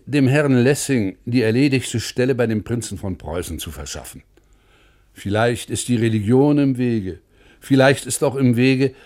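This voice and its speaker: A male actor plays a polite, earnest officer and poet in a radio feature. He reads a formal petition in measured tones, at a medium pitch. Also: a little mellow, close to an everyday voice. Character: courteous, earnest officer-poet pleading for a friend. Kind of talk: dramatic reading of a formal letter.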